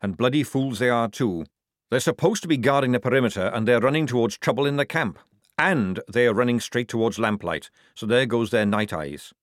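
A man's voice: medium pitch, lively intonation, brisk, dry recording, close to an everyday voice. Guy doing a big fat growly army sergeant for an audiobook, crafty and bossy, close dry sound. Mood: brisk, commanding. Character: gruff, cunning fat army sergeant, sly and brash.

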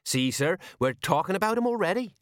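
A man's voice: high-pitched, very animated, brisk, no room sound, studio quality, pitched above the performer's own voice. A man voicing a deferential, self-satisfied police sergeant in an audiobook, with a plodding, homely manner. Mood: eager, confiding.

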